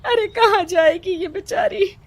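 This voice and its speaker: Woman acting a tearful, high-pitched pleading village woman. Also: measured pace, no room sound. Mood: desperate pleading. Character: desperate pleading village woman, wife and mother.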